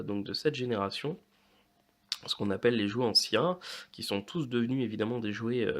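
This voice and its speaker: A man in his late thirties with a fairly low voice hosts an informal video. He speaks to viewers in a conversational way, hesitating often and filling his pauses as he searches for the next phrase. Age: late 30s. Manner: hesitant, frequent long filler pauses. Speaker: YouTube host of a toy and model kit channel.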